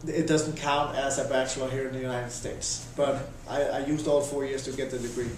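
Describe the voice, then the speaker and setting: A Danish-accented man in his thirties talks in an even, flat way, stopping often to restart his sentences. His voice sounds quite far from the mic, with strong echo in the room and a faint hiss behind it. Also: medium pitch. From Denmark. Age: 30s. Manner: halting, many restarts and fillers. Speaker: interviewee, volunteer volleyball coach. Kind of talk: casual interview answers. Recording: echoey room, voice from a distance.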